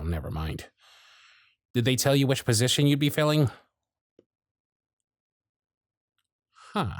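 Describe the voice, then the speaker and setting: A man's voice: medium pitch, no echo, studio quality. A man voicing a harried, grumpy male elf supervisor in an audio roleplay, sarcastic and scolding. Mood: curious. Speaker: workshop supervisor.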